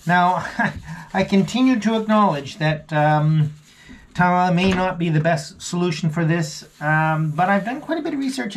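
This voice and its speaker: A middle-aged man with a slightly high voice walks through repair tips in a casual, self-deprecating way. He is recorded on a camera mic inside a small wooden boat cabin. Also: a little hiss or hum. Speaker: vlogger. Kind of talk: casual how-to vlog narration.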